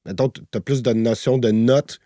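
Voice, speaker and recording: A man's voice: mid-range voice, steady tone, normal speed, mellow, no echo, studio quality. A Québécois man around forty, co-hosting a podcast in a loose, rambling, slangy way. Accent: Québécois French. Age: 40s. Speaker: podcast co-host. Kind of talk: casual podcast conversation.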